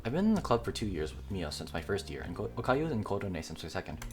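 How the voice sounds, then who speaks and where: A man's voice: sits low, very animated, fast, soft background music. A man voicing a stubborn, playful young fox girl obsessed with games, in casual teasing banter. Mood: earnest.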